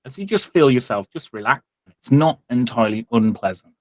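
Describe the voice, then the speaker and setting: A man runs a tabletop roleplaying game in a medium-pitched voice with a close, dry sound. He improvises descriptive narration in phrases, with some teasing. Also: lively intonation, brisk, a little mellow, no echo. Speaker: game master.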